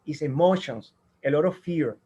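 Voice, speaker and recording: Interview guest, male, first speaker, slightly high voice, earnest, rambling. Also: lively intonation, normal speed, warm tone, husky. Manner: earnest, rambling with many fillers and restarts. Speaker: guest, sound healer and tenor. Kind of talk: interview answers.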